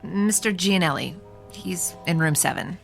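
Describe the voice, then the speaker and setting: A woman's voice: lowish voice, unhurried, creaky, a bit bright, soft background music. An actress playing a polite, helpful female clinic receptionist in a radio drama.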